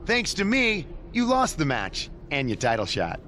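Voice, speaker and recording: A man's voice: a little high, wildly swooping pitch, unhurried, background noise. An actor playing a smug, boastful, taunting male wrestling villain in a video game cutscene. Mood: gloating.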